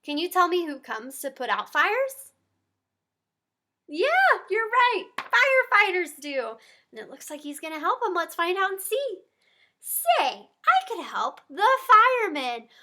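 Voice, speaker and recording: A woman with a high, bright voice reads a storybook to young children. Her delivery is slow and very animated, with an encouraging tone as she speaks directly to her little listeners. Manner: animated, encouraging, asks listeners simple questions. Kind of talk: expressive read-aloud to young children.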